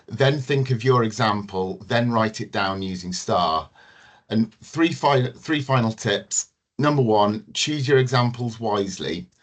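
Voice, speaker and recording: A man presenting as a team manager in a webinar, giving measured, methodical advice with a few ums. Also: on the low side.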